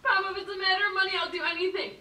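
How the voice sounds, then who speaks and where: A young actress playing a devoted, tearful village daughter who pleads emotionally in a film drama. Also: female voice, extremely high pitch, fairly even intonation, racing, bright, forceful tone, heavily acted. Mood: desperate pleading. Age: young adult. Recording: echoey, distant film soundtrack.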